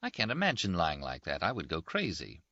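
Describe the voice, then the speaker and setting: A man voicing a brash, teasing young Italian army surgeon in an audiobook, quick and boastful. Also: sits low, wildly swooping pitch, sharp tone, no room sound, lo-fi sound, full character voice. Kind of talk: audiobook character voice.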